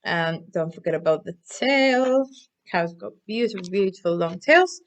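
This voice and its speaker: A woman presenting stories and crafts to young children in an animated, encouraging way, often asking them questions. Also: on the low side, measured pace. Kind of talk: interactive children's storytelling and instruction.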